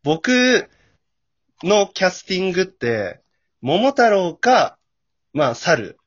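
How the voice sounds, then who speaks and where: A man's voice: high-pitched, big pitch swings, takes it slowly, fairly bright tone, no echo. A man chatting casually as a guest, giving his impressions, on a muffled lo-fi recording. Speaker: guest, amateur voice actor. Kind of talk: casual chat, giving impressions of a radio drama. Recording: dull, muffled lo-fi audio.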